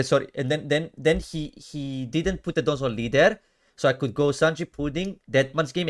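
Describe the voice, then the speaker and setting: A young man from Cyprus with a Greek Cypriot accent, casually commenting on his own card game matches and chatting with viewers on a stream. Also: medium pitch. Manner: chatty, slangy, talks to chat, often drops to a mutter. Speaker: streamer reviewing card games.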